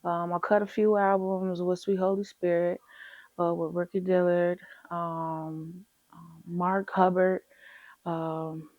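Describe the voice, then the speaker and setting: A confident woman talks about her music in a relaxed way. Her voice sits a little low. The recording is dry and slightly dull. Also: no room sound. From Chicago, USA. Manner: relaxed and confident, slips into animated quoting of herself. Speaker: rapper, interview guest. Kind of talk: casual interview.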